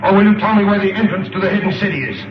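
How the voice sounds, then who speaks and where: A coldly menacing gangster warlord from a vintage film serial. He gives orders and threats formally and deliberately. The sound is dull and roomy, with music clearly behind him. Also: high-pitched, lively intonation. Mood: cold menace. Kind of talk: 1930s film serial acting.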